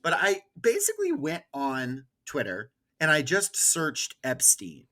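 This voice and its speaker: A man's voice: fairly high pitch, wildly swooping pitch, a little slow, pushed and bright. A man chatting casually about politics as a podcast co-host, with a wry, ironic way of talking.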